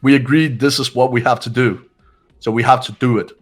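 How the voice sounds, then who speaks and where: A man voicing a stern, resolute young leader in a video game, speaking gravely and with authority. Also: mid-range voice, steady tone, brisk, faint music behind, close to an everyday voice. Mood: commanding. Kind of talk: amateur voice acting of a video game.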